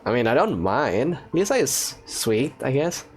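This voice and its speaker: A woman's voice: extremely low pitch, sing-song, unhurried. A woman giving casual let's play commentary as the host of a gaming channel. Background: game music.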